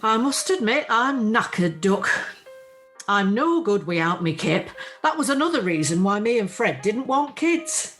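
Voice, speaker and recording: A woman's voice: medium pitch, sing-song, unhurried, fairly bright tone. Woman doing a knackered northern english widow in a radio play, blunt and chatty, voice goes up and down, bit of music. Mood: weary, grumbling. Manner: blunt, colloquial, grumbling. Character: chatty, worn-out, down-to-earth widow caring for her mother. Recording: video call recording with music.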